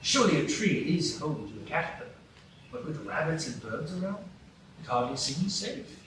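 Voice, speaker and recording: A man's voice: a little high, very animated, moderate pace. A man narrating a live radio play in a storytelling way. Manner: clear, storytelling. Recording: echoey stage, distant mic, room noise.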